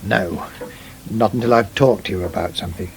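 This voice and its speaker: A man's voice: lowish voice, fairly quick, vocal fry, a little hiss or hum. An actor playing a middle-aged English farmer, a solicitous but firm father, in a radio soap. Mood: uneasy, firm. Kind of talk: British radio soap acting.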